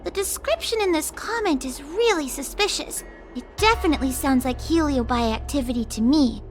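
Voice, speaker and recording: Very high, earnest little girl. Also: animated, moderate pace, slight background noise. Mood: concerned. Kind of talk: anime-style video game acting. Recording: music behind the voice.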